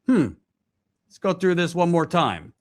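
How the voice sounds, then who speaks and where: A man hosting a news commentary show, speaking deliberately and repeating points for emphasis. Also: sits high, big pitch swings, no room sound, studio quality. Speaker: host, commentator. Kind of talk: news commentary to camera, reading out quotes.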